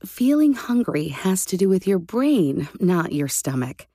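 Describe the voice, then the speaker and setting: A woman voicing a patient, caring mother in a storybook, explaining things in a calm, reassuring way. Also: on the low side, unhurried, no room sound, studio quality, full character voice.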